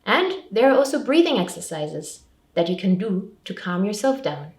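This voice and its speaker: Woman, mid-pitched voice, scripted advice talk, simple structured phrasing, roomy echo, distant microphone. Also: big pitch swings, moderate pace. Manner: simple, clearly structured advice in short sentences. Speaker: refugee support counsellor presenting advice. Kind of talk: scripted public information talk.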